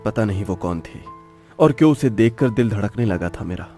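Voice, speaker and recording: A lovestruck young dreamer in a romantic audio drama. His voice is low and very mellow, soft and pensive. Faint music plays behind him. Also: expressive, moderate pace. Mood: longing, pensive. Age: 20s. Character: wistful lovestruck 25-year-old young man, restless dreamer.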